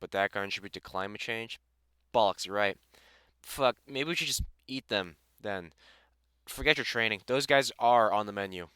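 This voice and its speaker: A middle-aged British vampire hunter acted by a man speaks in a clear, forward voice, recorded close and dry. He is blunt and sweary, with a teasing fondness, as in an intimate audio roleplay. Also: on the low side, moderate pace, sharp tone, no echo. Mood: scornful, amused. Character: gruff, kindly middle-aged male vampire hunter and carpenter. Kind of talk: audio roleplay acting, intimate one-sided conversation.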